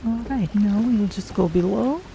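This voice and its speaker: Gentle cat-folk heroine, voiced by a woman streamer: soft dark tone, polite, faint ambient noise. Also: medium pitch, moderate pace, vocal fry, lightly acted, rough recording. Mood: friendly, calm. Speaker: streamer role-playing a game character. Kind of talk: in-character commentary on a video game.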